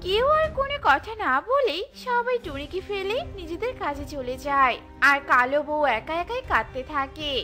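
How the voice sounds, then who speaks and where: A woman narrating a cartoon story in an expressive storybook manner. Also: high-pitched, normal speed, faint music behind.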